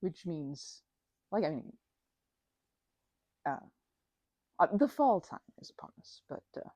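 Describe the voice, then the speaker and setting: A woman's voice: on the low side, very animated, takes it slowly, dark tone, dry recording. A woman in a casual ASMR roleplay, chatting informally as a best friend and often interrupting herself mid-thought. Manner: rambling, self-interrupting, informal and conversational.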